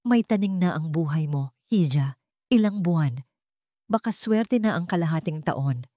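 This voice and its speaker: A woman's voice: on the low side, a little slow, no echo, clean studio sound. A woman voicing an elderly male doctor, grave and emotionless, in an audio drama. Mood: grave, detached. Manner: flat, unemotional delivery.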